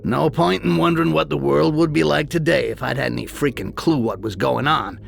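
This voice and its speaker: Crude greedy goblin merc from a video game, super gravelly, sardonic n streetwise, music faintly behind. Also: male voice, a little high, a little fast. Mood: grim, sardonic. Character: cynical, foul-mouthed, greedy male goblin mercenary guide. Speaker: character narrator. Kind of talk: cynical in-character video game narration.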